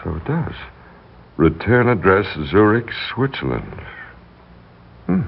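An actor playing a suave, scheming husband posing as a wealthy householder in a 1960s radio drama, smooth and commanding, curt with intruders. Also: male voice, lowish voice, wildly swooping pitch, takes it slowly, heavily acted. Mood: uneasy, puzzled. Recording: muffled, noisy 1960s radio recording.

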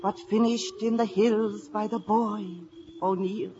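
A woman's voice: mid-range voice, fairly even intonation, a little slow, natural voice. Solemn village prophetess played by a woman, noisy recording. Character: solemn Irish village prophetess, stern and certain. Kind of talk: 1950s radio acting. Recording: noisy, dull old radio broadcast.

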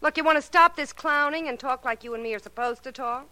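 An actress in a 1940s radio drama playing a suspicious, brassy nightclub dancer who talks in snappish slang. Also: female voice, high-pitched, quick pace, pushed and bright, no echo, rough recording.

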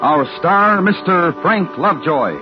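A man's voice: high-pitched, lively intonation, unhurried. An American man announcing a radio program in a dramatic, scripted 1950s style. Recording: muffled old radio, loud music.

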